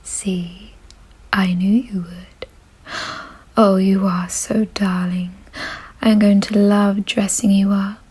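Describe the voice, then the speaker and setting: A woman playing a kind, doting aristocratic vampire in an ASMR roleplay, in a soft, breathy whisper with a gentle, genteel, reassuring manner. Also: mid-range voice, little pitch movement, takes it slowly, pauses often. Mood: fond, doting.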